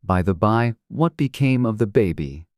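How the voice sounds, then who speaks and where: A storyteller voicing a sly, nonchalant, unhurried Cheshire cat in a dramatized audiobook. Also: mid-range voice, expressive, a little mellow, no room sound, studio quality, lightly acted.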